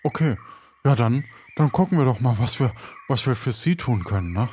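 A man playing a polite, bumbling pirate in an improvised audio play, stammering and halting as he bargains. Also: medium pitch, lively intonation, a little mellow, husky. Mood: courteous. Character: hungry, courteous, bumbling male pirate haggler. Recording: background noise and ambience.

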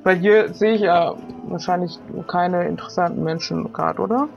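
A woman's voice: fairly low pitch, fairly even intonation, normal speed, gravelly, muffled recording, soft background music. A woman playing a friendly, generous pirate on a search, casual and upbeat in improvised role-play. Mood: inquiring.

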